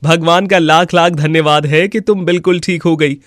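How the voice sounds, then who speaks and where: A man playing a simple, kind-hearted villager in a cartoon moral story, with an earnest, expressive delivery. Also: on the high side, moderate pace, dry recording, studio quality, natural voice. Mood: amazed, grateful. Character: honest, simple, kind-hearted village husband and his neighbours.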